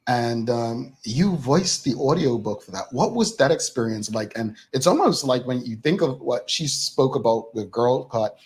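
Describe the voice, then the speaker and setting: A man from Bermuda hosting a talk show interview, measured and using brief affirmations, reading audience comments aloud. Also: medium pitch, animated.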